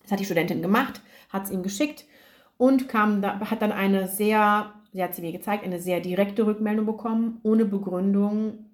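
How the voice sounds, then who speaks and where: A woman hosting a solo podcast as an academic writing coach, chatting casually with many fillers, her throat slightly hoarse. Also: mid-range voice, normal speed.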